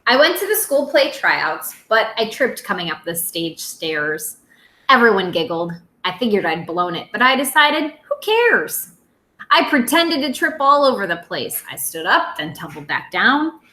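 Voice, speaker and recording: A woman teacher reading a picture book aloud to young children in an expressive, simple way. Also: medium pitch, a little slow, echoey room.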